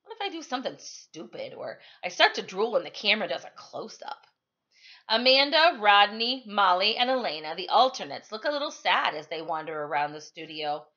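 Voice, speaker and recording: A woman reading a novel aloud like a teacher at storytime, with animated, emphatic delivery. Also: mid-range voice, normal speed, highs cut off. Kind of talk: expressive storytime read-aloud.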